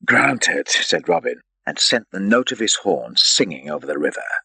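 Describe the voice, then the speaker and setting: A man reading an adventure story as an audiobook narrator, in an expressive, theatrical storytelling manner. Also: sits low, normal speed, gravelly, husky, dry recording.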